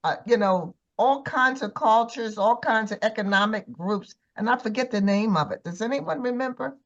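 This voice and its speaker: A woman's voice: on the high side, moderate pace, dull audio. A woman talking conversationally in an informal group discussion, putting questions to the others. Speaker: church discussion participant.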